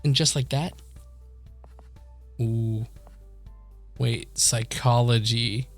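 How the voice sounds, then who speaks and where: Male game streamer, medium pitch, reads visual novel text aloud, trips over words and chuckles, faint music behind. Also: fairly even intonation, very slow, vocal fry. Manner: chuckles, stumbles over words and corrects himself. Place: streaming setup indoors.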